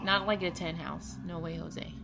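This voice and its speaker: A woman's voice: fairly low pitch, fairly even intonation, moderate pace, vocal fry, background noise. Woman, podcast co-host, casual, giggly, reads aloud and stumbles on names. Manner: laughs often, stumbles over names while reading. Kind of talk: casual chat, reading an announcement aloud.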